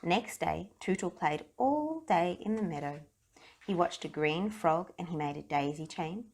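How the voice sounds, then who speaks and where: A woman reading a children's story aloud in an expressive narrating style. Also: lowish voice, a little slow. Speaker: storybook reader.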